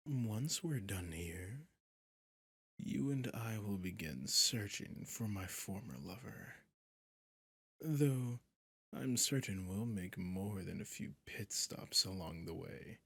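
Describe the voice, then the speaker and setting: A man playing a sadistic, centuries-old vampire prince in an ASMR roleplay, slow and menacing, often dropping into a whisper. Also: animated, no room sound, exaggerated voice. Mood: possessive, taunting. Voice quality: low, husky, gravelly.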